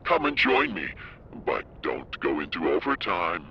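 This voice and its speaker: A man voicing a taunting, cultured serial killer in a video game, with a mocking, deliberate, theatrical manner. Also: deep voice, sing-song, dull audio, noisy background. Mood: menacing. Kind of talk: video game villain acting. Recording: loud music behind the voice.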